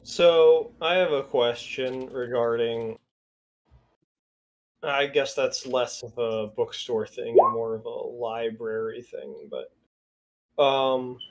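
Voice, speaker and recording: Male roleplay player with a medium-pitched voice, chatting casually and a little tentatively. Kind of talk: casual game talk.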